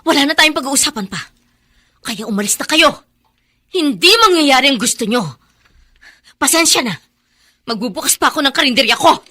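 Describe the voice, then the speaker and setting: A bright, high-voiced mother in a Filipino melodrama. She is proud and fiercely protective, speaking with emphatic emotion. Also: lively intonation, moderate pace, long pauses. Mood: defiant.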